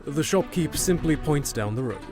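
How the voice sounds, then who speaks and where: A man's voice: a little high, animated, normal speed. Man narrating fantasy over music. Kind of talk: scripted fantasy audio drama narration. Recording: clear music under the voice.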